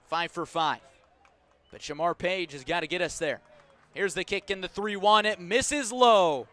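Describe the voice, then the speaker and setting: Bright, high-pitched male baseball play-by-play announcer. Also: clean tone. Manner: measured between pitches, swelling into emphatic calls on each play. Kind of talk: live sports radio commentary.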